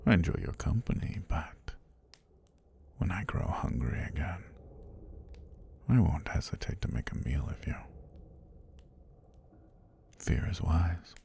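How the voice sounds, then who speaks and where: A man performs an ancient male serpent god with a low, dark voice. He speaks in formal, whispery phrases that rise and fall, intimate as ASMR. He is calm and tender, with a predatory edge. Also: full character voice. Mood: softly menacing. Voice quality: husky, breathy low voice. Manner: slow, deliberate, formal speech with whispery delivery. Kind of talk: intimate ASMR roleplay monologue.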